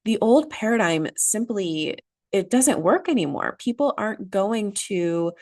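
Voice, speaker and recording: A woman's voice: mid-range voice, vocal fry, darkish tone, no room sound, studio quality. A woman hosting her own podcast, talking earnestly and with emphasis while nasal from a cold. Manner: earnest, emphatic, repeats key phrases. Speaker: podcast host. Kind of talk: solo motivational podcast talk.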